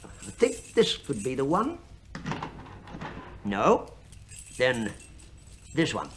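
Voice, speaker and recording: A man's voice: mid-range voice, wildly swooping pitch, very slow, background noise, exaggerated voice. An actor playing a breezy, dryly joking male investigator with a British accent in a radio play. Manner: dry joking, casual. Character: wry, breezy male investigator bluffing his way in.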